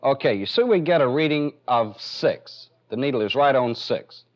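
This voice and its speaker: A man's voice: mid-range voice, animated, moderate pace. A man with an American accent giving a methodical, step-by-step technical demonstration as an instructor in a training film. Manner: methodical, plainly explanatory. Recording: dull, band-limited 1970s film soundtrack.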